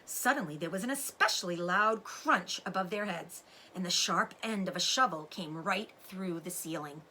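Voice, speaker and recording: A woman's voice: lowish voice, moderate pace, a little hiss or hum. A woman reading a children's story aloud expressively, like a teacher explaining things to young listeners. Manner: animated, explains words to children. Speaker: teacher-like read-aloud host.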